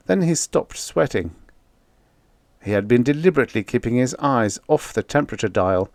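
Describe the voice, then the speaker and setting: Male audiobook narrator for pulp science fiction: unhurried, deliberate delivery, dramatic stress on key words, very dry close sound. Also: medium pitch, darkish tone, no room sound. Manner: measured, deliberate, dramatic storytelling with drawn-out emphasis. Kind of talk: scripted narration of vintage science fiction short story.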